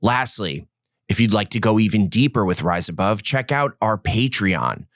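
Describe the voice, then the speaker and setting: Brief: male podcast host, low voice, dry close sound, upbeat and encouraging. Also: animated, a bit bright, no echo. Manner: upbeat, encouraging, quick asides. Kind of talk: interview and podcast promo. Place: podcast studio.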